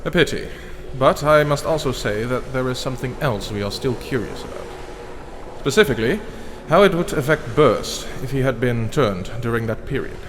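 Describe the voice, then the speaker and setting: A man's voice: medium pitch, lively intonation, normal speed, close to an everyday voice. A man acts out a scheming vampire researcher in a fantasy drama. His voice is mid-range, formal and coldly clinical. It carries long reverb over noticeable background noise. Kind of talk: scripted fantasy voice acting.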